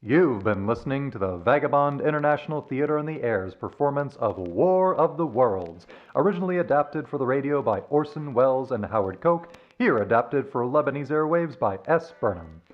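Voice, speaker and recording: A man announcing in a formal, deliberate scripted style, like a radio-show sign-off. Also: medium pitch, animated. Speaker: announcer reading closing credits. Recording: slightly dull, lo-fi sound.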